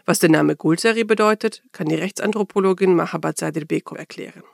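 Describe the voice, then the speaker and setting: Male narrator, very gravelly fry, higher register, scripted delivery, faint music underneath. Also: quick pace, no room sound, studio quality. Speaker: radio feature narrator.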